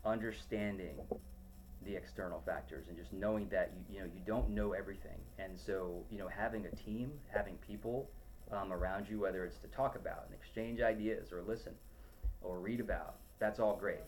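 Man, deep voice, middle-aged, halting with frequent ums, faint background hum. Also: steady tone, darkish tone, poor audio. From Ohio, USA. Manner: rambling, many ums and restarts. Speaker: podcast guest, loan officer. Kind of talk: casual interview answers.

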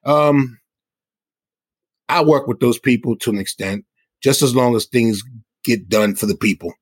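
A man's voice: mid-range voice, a little slow, dry recording, studio quality. A man from the South Bronx, a hip hop artist, talking casually as an interview guest. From South Bronx, New York.

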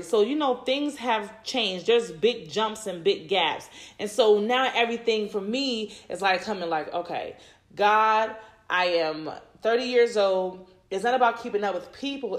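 A woman's voice: mid-range voice, a bit bright. A woman in her thirties talking to listeners about faith and relationships, rambling and repeating herself with many fillers. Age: 30s. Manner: rambling, repeats words, many "you know"s. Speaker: podcast host. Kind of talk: faith-based personal talk.

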